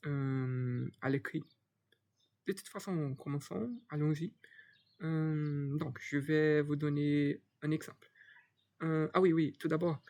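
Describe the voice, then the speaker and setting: Slow, hesitant Brazilian man teaching. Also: on the high side, mellow. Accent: Brazilian Portuguese. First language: Portuguese. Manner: hesitant, many fillers and restarts. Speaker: Portuguese tutor. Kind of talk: unscripted language lesson.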